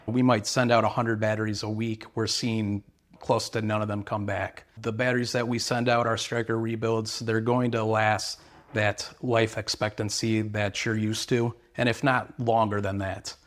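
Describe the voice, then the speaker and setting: Low-voiced company man, earnest. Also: little pitch movement, normal speed. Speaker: battery company representative. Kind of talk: interview answers explaining products and service.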